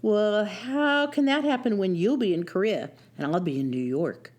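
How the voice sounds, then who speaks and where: A woman plays a sweet young teacher in a radio story. Her mid-pitched voice moves up and down expressively. She comes across as practical and in love. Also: lively intonation, moderate pace, a little mellow, close to an everyday voice. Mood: concerned, fond.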